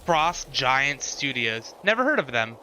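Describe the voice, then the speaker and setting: Bright-voiced male streamer, musing casually. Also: mid-range voice, very animated, measured pace, a little hiss or hum, low-quality recording. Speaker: live streamer. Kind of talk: casual livestream commentary and reaction. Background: game showcase trailer audio and music.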